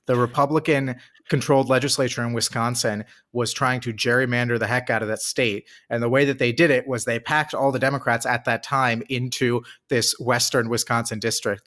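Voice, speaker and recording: A man co-hosts a political podcast and explains districts in a fluent, easy way. His voice is mid-pitched and steady. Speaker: podcast co-host, political analyst.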